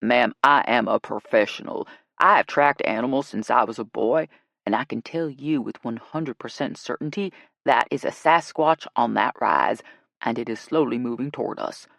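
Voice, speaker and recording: A woman voicing an earnest, stern male wilderness guide in an audiobook, raspy, firm and formal. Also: very deep voice, normal speed, fairly bright tone, no room sound, pitched below the performer's own voice, full character voice. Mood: solemn, commanding. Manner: firm, formal, self-serious. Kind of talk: audiobook character acting.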